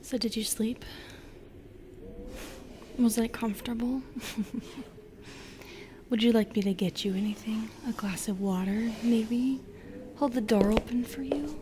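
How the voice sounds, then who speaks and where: A woman playing a bitter, lonely, seductive Medusa in an intimate audio roleplay, half-whispering in a breathy, brooding way. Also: on the high side, takes it slowly, pauses often, mellow, a little hiss or hum. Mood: softly teasing. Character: bitter, seductive, lonely female Medusa who turns people to stone.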